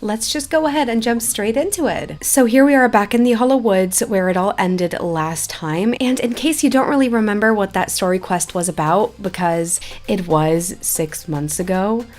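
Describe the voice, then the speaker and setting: A woman's voice: mid-range voice, normal speed, hardly any pauses. A woman hosting a gameplay video in a chatty, enthusiastic way, giving upbeat commentary. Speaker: YouTube gaming host. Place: indoor voice-over over game footage. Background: background music.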